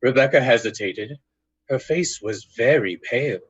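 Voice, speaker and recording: A man's voice: mid-range voice, normal speed, breathy edge, no room sound, dull audio. A man giving dramatic scripted narration in the style of an old-time radio drama.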